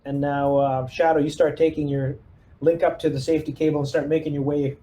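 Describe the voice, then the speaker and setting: A man's voice: on the high side, level tone, brisk, warm tone, lo-fi sound, a little hiss or hum. A man chatting casually as he plays squad leader in a tabletop roleplaying game.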